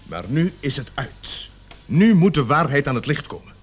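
Stern, animated police officer. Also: male voice, a little high, normal speed, husky, faint music behind. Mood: commanding, angry. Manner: authoritative, pressing, theatrically emphatic. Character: stern, commanding male police officer leading an interrogation. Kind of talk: radio play acting.

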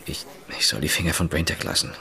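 Deep gravelly male voice for a shaken journalist in a radio play, low and rough. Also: fast talker, sharp tone, full character voice. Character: shaken journalist Pit Kern, beaten up. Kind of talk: radio drama acting.

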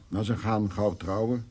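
An actor playing a remorseful, awkward older farmer in a radio drama, speaking haltingly. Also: male voice, lowish voice, a little mellow, breathy edge, full character voice. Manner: hesitant, halting. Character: remorseful, awkward older male farmer visiting an old neighbour. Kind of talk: naturalistic radio drama dialogue.

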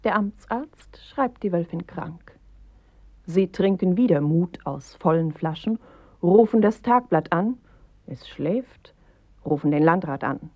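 A woman narrating a dark, grim tale in a radio play, with measured, terse phrasing and a husky, breathy voice. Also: fairly low pitch, animated, no echo. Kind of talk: literary radio play narration, slow and deliberate. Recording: dry, slightly dull studio radio recording.

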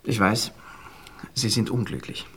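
Ardent young Austrian suitor, swooping pitch. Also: male voice, animated, fairly bright tone, slight background noise. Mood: fervent pleading. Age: young adult. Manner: pleading, effusive, halting. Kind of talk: radio drama acting.

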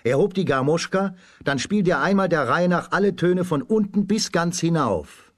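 A man narrating a children's radio play as a scripted storyteller, with a husky voice. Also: fairly high pitch, lively intonation, moderate pace.

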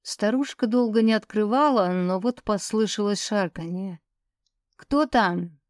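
A woman narrates a story in scripted audiobook style. Her voice sits at a medium pitch, and the recording is very dry, with no room around it. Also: moderate pace, darkish tone, no room sound. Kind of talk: scripted first-person fiction narration.

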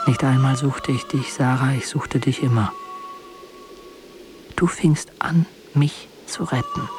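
Slow, hushed man acting a tender lover. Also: mid-range voice, noisy background, natural voice. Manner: hushed, intimate, halting phrases. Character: tender, wounded lover addressing his beloved Sarah. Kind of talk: poetic literary radio drama monologue.

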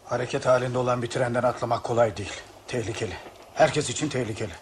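A man playing a bitterly ironic male train passenger in a radio drama, speaking in a measured way. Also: medium pitch, fairly even intonation, slight background noise, lightly acted. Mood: serious. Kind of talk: Turkish radio drama acting.

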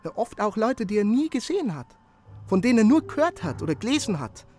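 A breathless eager young messenger. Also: male voice, high voice, big pitch swings, darkish tone. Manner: stammering, earnest, hurried report. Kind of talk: radio play acting.

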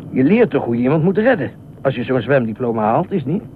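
A man's voice: mid-range voice, big pitch swings, quick pace, narrow, tinny audio, close to an everyday voice. Noisy old radio-play actor as a fussy, meddlesome man. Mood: polite, probing. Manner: formal, insistent, probing questions. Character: fussy, anxious, meddlesome male beach regular pestering a stranger. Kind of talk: Dutch radio play acting. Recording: noisy, thin band-limited old radio recording.

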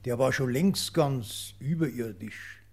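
An actor playing a plain village farmer giving earnest testimony in a radio play, folksy, with a Bavarian accent. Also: male voice, medium pitch, takes it slowly, a little mellow, husky.

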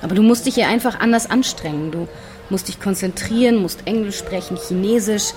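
A woman's voice: mid-range voice, fairly quick, darkish tone, close to an everyday voice. An actress playing a practical, candid German expatriate woman, chatting colloquially in a radio drama. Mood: candid, reflective. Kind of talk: conversational documentary radio drama. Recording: clear street and traffic noise.

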